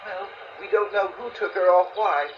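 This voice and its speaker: A man's voice: high-pitched, moderate pace, a little hiss or hum, lightly acted. A man acting an earnest adventurer in a children's audio story. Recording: roomy, dull toy playback.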